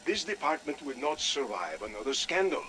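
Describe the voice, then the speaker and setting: An actor playing a commanding, cold Soviet colonel, giving deliberate orders in a game audio log. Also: male voice, mid-range voice, very animated, sharp tone, a little hiss or hum. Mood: cold, calculating. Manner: deliberate, authoritative, clipped orders.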